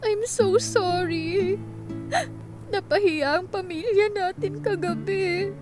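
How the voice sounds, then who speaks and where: High, slow, plaintive young woman. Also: fairly even intonation, over music. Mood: tearful, remorseful. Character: hurt, soft-hearted young woman, one of twin sisters. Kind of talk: radio drama acting.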